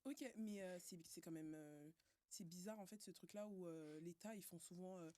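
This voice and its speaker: A woman from Cameroon hosting a podcast, asking questions in a relaxed, friendly way. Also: lowish voice, normal speed. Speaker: podcast host. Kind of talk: casual interview.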